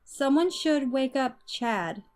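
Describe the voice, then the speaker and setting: A woman's voice: high voice, expressive, takes it slowly, a little mellow. A woman playing a compassionate young survivor in a horror audio story. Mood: concerned, gentle.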